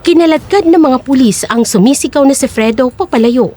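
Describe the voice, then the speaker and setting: A woman's voice: high voice, fairly quick, dark tone. A woman giving scripted, dramatic storytelling narration for an audio drama.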